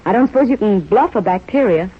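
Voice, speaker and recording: An actress playing a cheeky, devoted young nurse trainee from North Dakota in an old-time radio drama, speaking in a frank, informal way. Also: female voice, medium pitch, expressive, fast talker, slightly rough. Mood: frank, playful. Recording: muffled vintage radio broadcast recording.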